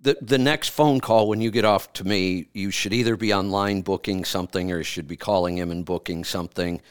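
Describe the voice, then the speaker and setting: A man's voice: low-pitched, fairly even intonation, a little fast, clean tone, no room sound, clean studio sound. A man hosting a radio health show, giving a caller firm, direct advice and often repeating himself. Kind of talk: advising a caller on air. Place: radio studio.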